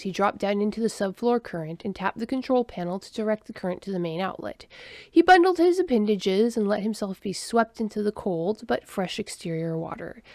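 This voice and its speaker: A woman narrating a science fiction story in an expressive storyteller voice. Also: mid-range voice, no echo, studio quality. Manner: expressive storyteller voicing every character. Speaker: audiobook narrator.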